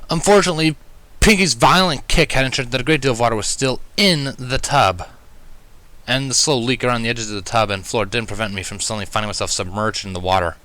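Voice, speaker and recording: A man reading a story aloud as narrator, with expressive delivery. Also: mid-range voice. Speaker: fan fiction reader.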